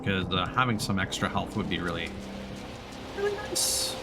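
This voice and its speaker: A low male voice with very lively intonation talks over clear background music. He is a streamer doing casual game commentary, playfully thinking aloud as he goes. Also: normal speed. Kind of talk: casual let's play commentary.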